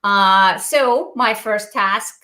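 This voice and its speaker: A woman's voice: medium pitch, drawn-out words, pushed and bright, echoey room. A middle-aged Icelandic woman with an Icelandic accent, telling her story earnestly as a guest on a video-call interview, with frequent hesitations. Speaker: guest entrepreneur.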